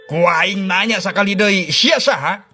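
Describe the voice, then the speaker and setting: A man's voice: high-pitched, a little slow, sharp tone, breathy edge, no echo, studio quality, faint music behind, full character voice. A man with a Sundanese accent voicing a cheeky, hot-tempered old ninja master in a parody cartoon, coarse and scolding. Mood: puzzled, brash. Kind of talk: comic parody cartoon voice acting.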